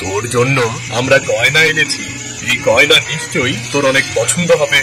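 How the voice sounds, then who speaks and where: A man voicing a gentle, doting village father in a cartoon, soothing and affectionate in manner. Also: mid-range voice, moderate pace, natural voice. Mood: fond, coaxing. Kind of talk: cartoon voice acting. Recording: music under the voice.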